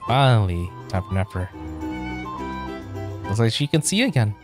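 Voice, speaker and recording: A man's voice: on the low side, sing-song, moderate pace. A man doing a casual game let's play, reading dialogue aloud and adding offhand comments. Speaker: streamer. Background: game music.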